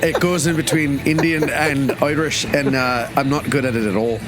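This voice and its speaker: A man's voice: a little high, steady tone, normal speed, over loud music. A man chatting as a podcast guest, with dry, self-deprecating humor. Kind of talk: casual podcast chat.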